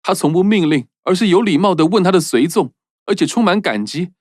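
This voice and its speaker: A man's voice: high-pitched, animated, fairly quick, a little mellow, dry recording, clean studio sound. A man voicing a sincere, courteous young prince in a children's cartoon. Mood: earnest. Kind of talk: cartoon dubbing.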